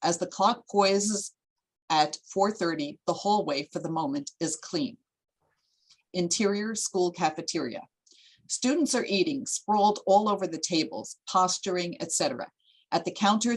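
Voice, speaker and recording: A woman reading screenplay stage directions as narrator, in a measured, descriptive way. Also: lowish voice, rough recording.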